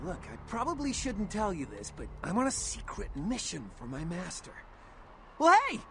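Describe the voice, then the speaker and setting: An actor playing an excitable, gushing young slacker ghoul, a vampire's eager sidekick in a video game. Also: male voice, high-pitched, moderate pace, creaky, background noise, exaggerated voice. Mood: eager, secretive. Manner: gushing, rambling, slangy. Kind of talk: video game character acting.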